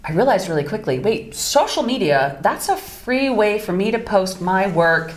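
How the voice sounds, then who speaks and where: A woman voice actress chatting casually in an interview, with a low, deeper voice and an animated, upbeat manner. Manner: animated, bright and upbeat, quick asides. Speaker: voice actress guest. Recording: roomy echo.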